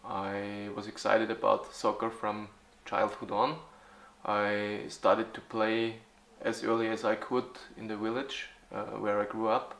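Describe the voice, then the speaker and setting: Gravelly-voiced man, halting interview answers. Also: on the low side, little pitch movement, a bit bright, reverberant. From Austria. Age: 40s. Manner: halting, with frequent fillers and restarts. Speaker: youth football club organizer.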